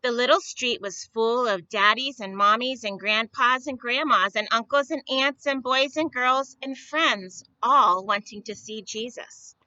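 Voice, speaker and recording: A woman telling stories to young children in a simple, deliberate, expressive way, often putting questions to them. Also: a little high, smooth, no room sound, muffled recording. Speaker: children's storyteller at a church. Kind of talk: read-aloud storytelling for young children.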